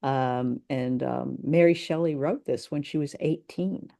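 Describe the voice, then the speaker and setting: A woman's voice: very deep voice, a little slow, gravelly, dark tone. A woman co-hosting a podcast, chatting casually in a hesitant, appreciative way. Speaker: podcast co-host. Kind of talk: casual chat about old radio drama.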